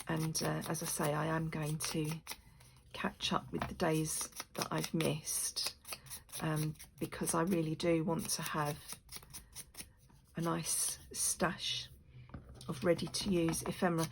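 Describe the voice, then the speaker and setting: A woman with a croaky voice chatting casually to her channel while making craft pieces, rambling with lots of fillers. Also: low voice. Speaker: crafting video maker. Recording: noisy room with clicks.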